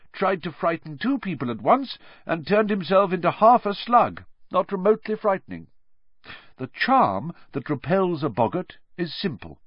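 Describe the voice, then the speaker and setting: A man voicing a cheerful, thoughtful teacher of magic in an audiobook, with a deliberate, encouraging manner. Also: on the high side, husky, no room sound, highs cut off, pitched above the performer's own voice, exaggerated voice. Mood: brisk, instructive. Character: cheerful, reassuring, thoughtful male schoolteacher of magic. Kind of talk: audiobook character reading.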